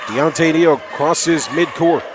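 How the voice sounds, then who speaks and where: High-voiced male basketball play-by-play announcer. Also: slow. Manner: excitable, raising voice on big plays. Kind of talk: live radio sports commentary in clipped phrases. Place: indoor high school gymnasium. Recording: live from noisy gym, crowd behind. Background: crowd and bouncing basketball.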